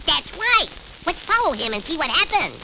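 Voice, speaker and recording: A man's voice: extremely high pitch, sing-song, moderate pace, sharp tone, a little hiss or hum, full character voice, rough recording. A man voicing a tiny, innocent baby cartoon canary, sweet and persuasive with babyish speech, on a vintage children's record. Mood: coaxing. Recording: vintage 78rpm record with music.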